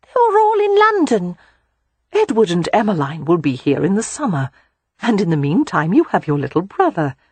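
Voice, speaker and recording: A woman voicing a gentle, caring older sister who speaks softly and kindly. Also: medium pitch, wildly swooping pitch, normal speed, husky, no echo. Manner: soft, kindly.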